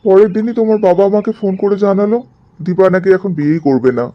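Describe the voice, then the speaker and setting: A man's voice: sits high, quick pace, lo-fi sound, lightly acted. A man playing an easygoing, affectionate young husband in a cartoon drama, coaxing and explaining. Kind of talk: animated cartoon drama dialogue.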